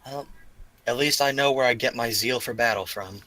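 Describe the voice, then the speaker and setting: A man's voice: mid-range voice, normal speed, bright, forceful tone, dry recording, lightly acted. A man voicing a confident, plain-spoken young fighter hungry for battle in a tabletop game. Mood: confident, curious.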